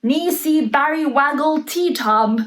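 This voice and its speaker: A kindly, regal cloud queen from a children's storybook, as a woman reader puts her on. She speaks in a very high voice and declaims deliberately, every inch the gracious ruler. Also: lively intonation, a bit bright, full character voice. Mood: incantatory.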